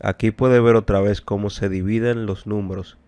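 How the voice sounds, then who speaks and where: A man teaching a bilingual language lesson, deliberately explaining, translating and repeating phrases. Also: on the low side, fairly even intonation, darkish tone, dry recording. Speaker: English teacher.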